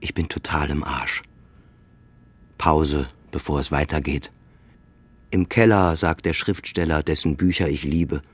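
A man's voice: extremely low pitch, fairly even intonation, measured pace, pauses often, no room sound. A man delivering scripted, introspective first-person literary narration as in a radio play, in short clipped phrases.

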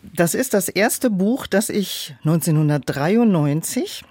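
A woman's voice: fairly low pitch, lively intonation, slow, darkish tone, husky, no room sound. Considered middle-aged woman, dry studio sound. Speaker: audiobook producer, podcast guest. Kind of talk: casual interview storytelling.

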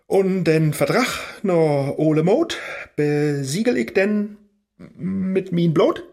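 A man's voice: high-pitched, lively intonation, takes it slowly, creaky, a little mellow, exaggerated voice. An actor playing a weary, grumbling old male scholar who craves youth and fun, impatient in tone, in a rhymed radio play.

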